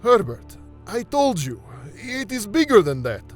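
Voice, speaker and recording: A man acting in an audio drama as an earnest, devout scholar, speaking deliberately and with dramatic emphasis. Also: sits high, very animated, soft background music. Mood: concerned. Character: earnest Middle Eastern man, devout scholarly friend and host.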